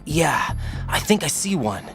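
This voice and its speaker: A man's voice: on the high side, big pitch swings, unhurried, fairly bright tone, faint music behind, heavily acted. An actor playing an earnest rookie special-forces man in a video game, reporting in an alert, plain-spoken, questioning way. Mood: focused, uncertain. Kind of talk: video game radio-briefing acting.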